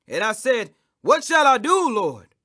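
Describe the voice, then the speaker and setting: High-pitched, emphatic man reading scripture. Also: very animated, sharp tone. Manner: emphatic, declamatory, with drawn-out stressed phrases. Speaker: scripture reader. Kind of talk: dramatic scripture reading, preacher-like declamation.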